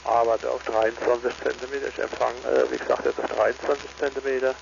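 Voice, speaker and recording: A man's voice: mid-range voice, little pitch movement, fairly quick, gravelly. A man chatting casually as an amateur radio operator over a two-way transmission. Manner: tags statements with "ne?". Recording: dull, noisy ham radio transmission.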